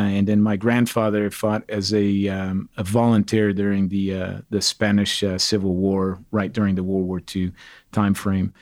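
Man, from Portugal, middle-aged, low voice, earnest candid storyteller. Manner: earnest, animated, quotes others in dialogue. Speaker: guest, retired command sergeant major.

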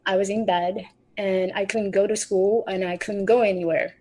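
A woman's voice: mid-range voice, steady tone, normal speed, warm tone. Woman telling her story. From Taiwan. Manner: earnest, frequent restarts, slight non-native grammar. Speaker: podcast guest. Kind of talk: personal storytelling.

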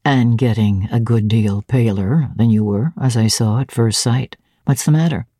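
A woman voicing a curt, commanding gentleman. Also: a bass voice, moderate pace, husky, dry recording, clean studio sound, voice pushed down. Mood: probing. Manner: curt, imperious questioning. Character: brusque commanding gentleman of the manor.

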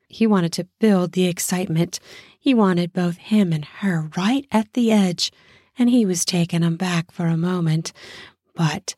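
A woman narrating a scripted erotic story in an intimate, deliberate manner with drawn-out phrasing. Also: on the low side, darkish tone, husky, no room sound, studio quality.

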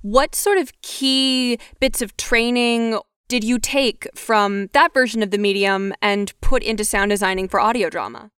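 A woman interviewing a guest on a podcast, asking measured, thoughtful questions. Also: on the high side, fairly bright tone, clear, resonant tone, no room sound, clean studio sound.

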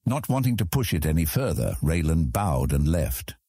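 Deep, husky male audiobook narrator. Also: big pitch swings, mellow, dry recording, studio quality. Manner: measured, dramatic storytelling.

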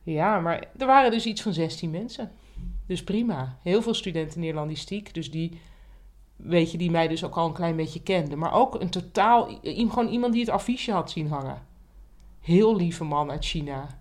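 A woman's voice: on the low side, moderate pace. A woman chatting casually as a podcast co-host. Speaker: writing teacher. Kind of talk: casual chat. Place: indoors on a couch.

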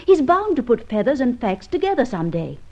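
An actress playing a sensible, brisk female confidante, reproving in an old radio drama. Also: a little high, very animated. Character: sensible, scolding female confidante of a gentleman thief. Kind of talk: mid-century radio drama acting. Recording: dull, distant old radio recording.

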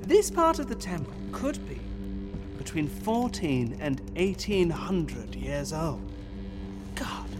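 A man playing a pompous, excitable professor and explorer in an audio drama. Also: on the high side. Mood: awed. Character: pompous, zealous male professor-explorer of lost civilizations.

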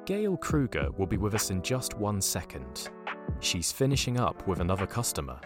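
Here is a man playing a wry audio drama storyteller. His voice is low and moves animatedly over prominent music, yet stays matter-of-fact. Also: sing-song, moderate pace. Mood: measured, wry. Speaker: narrator. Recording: music behind the voice.

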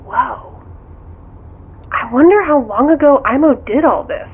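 An actress playing a curious, skeptical confidante in a naturalistic audio drama, questioning in a casual, dry way through a telephone filter. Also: female voice, high voice, animated, unhurried. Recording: thin, tinny phone line.

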